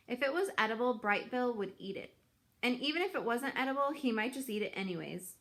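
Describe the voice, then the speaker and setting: A woman reading a children's book aloud in an expressive, deliberate, dramatic storytelling style. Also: mid-range voice.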